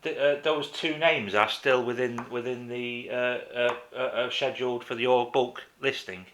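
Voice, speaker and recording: A man with a bright, forward voice is on a phone call to an office. He presses his point insistently, in halting phrases that he often repeats. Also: mid-range voice, steady tone. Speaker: caller to a council office. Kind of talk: phone conversation, making a complaint.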